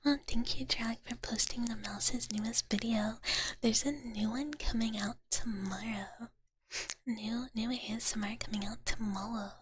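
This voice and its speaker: A woman voicing a sweet, ditzy dragon big sister for live ASMR, whispering softly and breathily up close in cutesy valley-girl talk. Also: a little high, fairly even intonation, measured pace, natural voice. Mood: soft, playful. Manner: cutesy valley-girl filler-laden talk in third person. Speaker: streamer. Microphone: close ASMR microphone.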